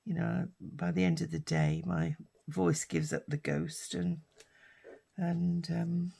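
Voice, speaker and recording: Husky older British woman chatting. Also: lowish voice, expressive, takes it slowly, mellow. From United Kingdom. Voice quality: husky, raspy. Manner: rambling and chatty, with many fillers. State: hoarse from a lingering cough. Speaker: craft vlogger. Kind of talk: casual chat while crafting.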